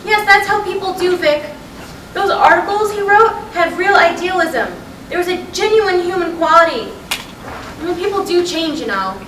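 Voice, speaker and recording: A woman's voice: extremely high pitch, animated, moderate pace, a bit bright. A woman playing a frustrated, restless middle-aged wife in a staged play reading, insistent and emotional as she urges her husband on. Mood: coaxing. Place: roomy hall, staged reading at a college. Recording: distant echoey stage recording with noise.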